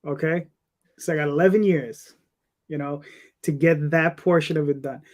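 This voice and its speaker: Man, fifties, slightly high voice, comic creator, casual interview guest, rambling delivery. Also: fairly even intonation, moderate pace. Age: 50s.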